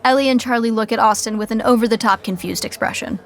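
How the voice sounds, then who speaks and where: A woman's voice: fairly high pitch, fast, faint music behind. A woman reading scripted comedic narration for a podcast sitcom.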